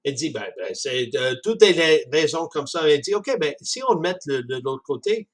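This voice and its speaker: Halting man with a Canadian French accent. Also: fairly high pitch, very animated. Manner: halting, with frequent restarts and chuckles. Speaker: speaker discussing a book. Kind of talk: casual spoken commentary.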